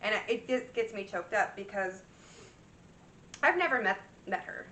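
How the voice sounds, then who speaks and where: A woman's voice: medium pitch, expressive, measured pace, pushed and bright, a little hiss or hum. A woman in her thirties chatting casually and rambling as she shows her craft projects, her voice a little worn. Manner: rambling, chatty, full of fillers and restarts. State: slightly worn voice. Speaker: cross-stitch vlogger. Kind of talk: casual show-and-tell chat. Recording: echoey room, mic at a distance.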